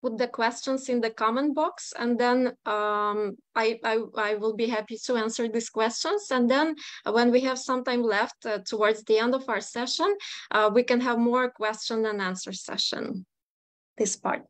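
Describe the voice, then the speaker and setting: A woman's voice: on the high side, steady tone, normal speed, no room sound. A woman in her thirties from Ukraine with a Ukrainian accent, giving a chatty webinar talk as guest speaker, full of "like" and "um". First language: not English. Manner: chatty, many fillers, frequent "like".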